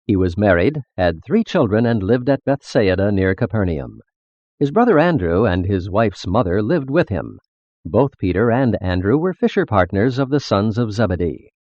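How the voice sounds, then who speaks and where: A man reading a religious text as scripted narration, in a measured, formal, expository manner. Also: fairly low pitch, a little mellow, dry recording, lo-fi sound.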